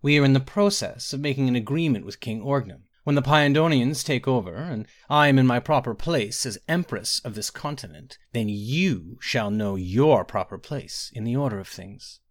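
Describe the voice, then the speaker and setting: A man's voice: mid-range voice, lively intonation, moderate pace, few pauses, lightly acted. A man voicing a scheming royal mother in a fantasy storybook, imperious and breezy in manner. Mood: haughty.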